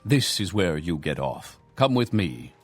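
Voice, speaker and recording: An actor playing a stern, curt imperial guard in a fantasy game, commanding and clipped. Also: male voice, low-pitched, sing-song, measured pace, faint music behind. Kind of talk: scripted fantasy video game dialogue.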